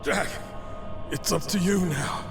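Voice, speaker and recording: Game comrade: earnest, loyal warrior, raised pitch, direct, loud score behind. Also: male voice, slow, music in the background. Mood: urgent. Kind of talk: video game cutscene acting.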